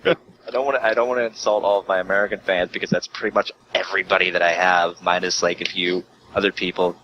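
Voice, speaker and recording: Deep-voiced man with a bright, forceful edge. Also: fairly even intonation, fast talker, lo-fi sound. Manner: frequent swearing, exasperated asides. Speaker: guest, online video creator. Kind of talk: casual profane storytelling chat. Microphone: voice call.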